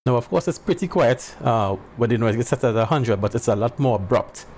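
Male host in his thirties, headset mic, steady explainer tone, faint birds behind. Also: mid-range voice, a little fast. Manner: plain, explanatory, talks straight to viewers. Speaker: channel host. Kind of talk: casual tech demo talk. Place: small home room. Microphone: headset boom mic. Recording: faint background birdsong noise. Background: faint birds chirping.

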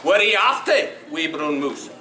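A man's voice: a little high, expressive, moderate pace, distant mic, voice pushed down, natural voice. A man voicing hungry, wily animal predators in Scots dialect, reading a children's story. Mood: luring. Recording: echoey room, faint noise.

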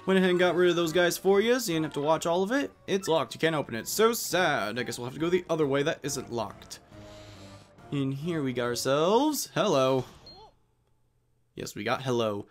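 A man's voice: on the high side, lively intonation, moderate pace. Chatty man doing game commentary. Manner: rambling, chatty. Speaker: Let's Play host. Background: video game music.

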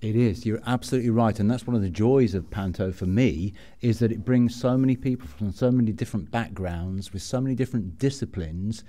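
A man hosting a podcast, chatting and asking questions in a relaxed interview style. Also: fairly low pitch, brisk, hardly any pauses. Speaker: podcast host.

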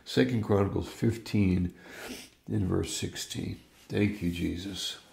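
A man in his fifties, a pastor giving a morning devotional from his home study, reading scripture and praying in a reflective way, pausing and restarting his phrases. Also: low voice, fairly even intonation, creaky, darkish tone, echoey room.

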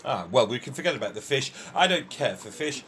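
Man playing an animated, food-loving admiral. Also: mid-range voice, fairly quick, a little hiss or hum, close to an everyday voice. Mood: relishing, emphatic. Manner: theatrical, relishing, disputatious. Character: opinionated, food-loving old-fashioned military admiral, courteous and argumentative. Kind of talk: amateur radio play acting.

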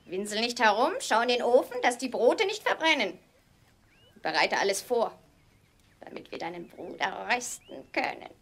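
A woman's voice: a little high, sing-song, normal speed, a bit bright, heavily acted. A woman playing a wicked, bossy old witch who scolds and schemes in rhyme in a children's fairy tale audio drama. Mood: scolding, impatient.

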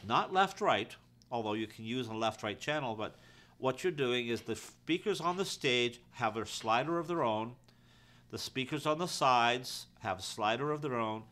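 A man's voice: medium pitch, a bit bright. A man giving an informal, practical how-to explanation in a conversational way, as a technician teaching his trade. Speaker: audio-visual technician and instructor.

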